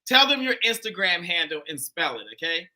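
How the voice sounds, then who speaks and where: A man with a Pittsburgh accent hosting a live dating show in a loud, animated, chatty way. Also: high-pitched, brisk. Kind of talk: casual live-stream chat.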